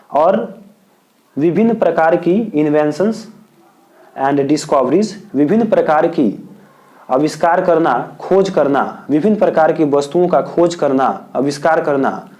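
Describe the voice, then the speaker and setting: A man from India teaching as a tutor, dictating answers slowly and repeating each point in several wordings. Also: a little high, warm tone. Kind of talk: exam answer lesson.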